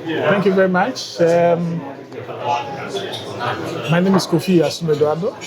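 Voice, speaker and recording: A Ghanaian man with a fairly high voice speaks in a measured way, pausing often between thoughts. Loud chatter fills the trade conference floor behind him. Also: fairly even intonation, low-quality recording. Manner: measured, with frequent hesitations. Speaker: bank guarantees unit head. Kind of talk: conference interview.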